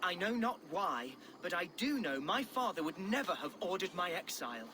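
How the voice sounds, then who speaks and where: An actor playing an earnest, meek young nobleman in a video game, with a light voice and florid, apologetic, excitable manners. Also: sits high, normal speed, background noise. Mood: earnest, troubled. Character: earnest young exiled nobleman, meek amateur poet, eager and flustered. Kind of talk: scripted video game drama acting.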